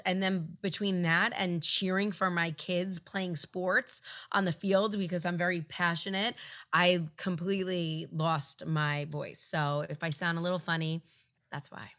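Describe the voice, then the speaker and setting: A middle-aged woman co-hosting a casual podcast chat, hoarse from a lost voice, playful and quick to laugh. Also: lowish voice, a bit bright. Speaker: podcast co-host.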